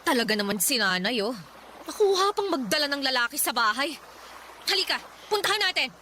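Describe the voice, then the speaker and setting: A woman's voice: sits high, sing-song, a little fast. A woman playing a responsible, fed-up young eldest daughter in an emphatic radio drama style. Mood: indignant. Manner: indignant, scolding, emphatic.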